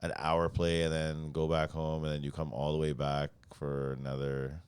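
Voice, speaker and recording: A man's voice: very deep voice, monotone, moderate pace, clear, resonant tone, no echo. A man co-hosting a casual sports podcast, blunt and slangy, swearing freely and repeating himself for emphasis. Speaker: podcast co-host.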